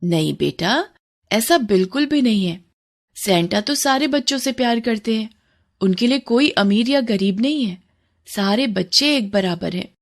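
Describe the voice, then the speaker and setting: A woman's voice: a little high, lively intonation, measured pace. A woman playing a caring, practical mother who coaxes and reassures in a children's cartoon. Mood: reassuring. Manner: coaxing, reassuring.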